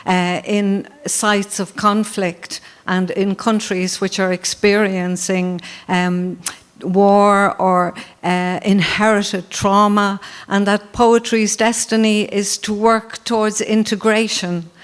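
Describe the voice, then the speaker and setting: Slow, clear-voiced Irish woman poet. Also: mid-range voice, level tone. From Ireland. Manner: slow, measured reading with long pauses. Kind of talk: panel talk and poetry reading. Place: festival panel on stage. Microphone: handheld or stand mic.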